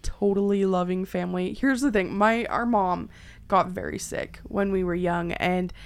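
A young woman with a Canadian accent, a bubbly, loud podcast host bantering and telling stories casually, peppering her talk with "like". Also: lowish voice, normal speed. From Ontario, Canada. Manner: bubbly, loud, uses "like" heavily. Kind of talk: casual bantering chat and storytelling.

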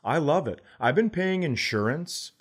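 A man's voice: fairly high pitch, lively intonation, a little slow. An American man hosting a podcast in casual comedic chat, profane and playfully argumentative. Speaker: podcast host.

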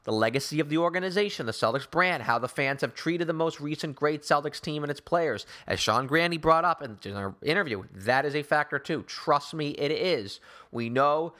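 A man's voice: a little high, animated, moderate pace, sharp tone. A man hosting a sports talk show, delivering opinionated basketball commentary in an emphatic, rambling way with sarcastic asides.

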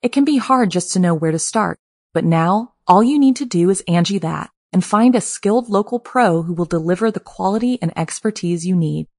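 Female ad voice, slightly low pitch, dry studio read, smooth and polished. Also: expressive, normal speed, a little mellow, no room sound. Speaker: ad announcer. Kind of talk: read advertisement.

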